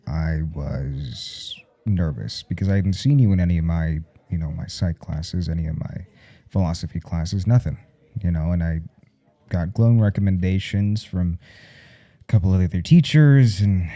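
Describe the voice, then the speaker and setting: A man playing a flustered, jealous professor in an intimate audio roleplay, speaking haltingly and gruffly with a gravelly voice and plenty of swearing. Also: low voice, warm tone, full character voice. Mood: apologetic, awkward. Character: jealous, flustered male professor smitten with his teaching assistant.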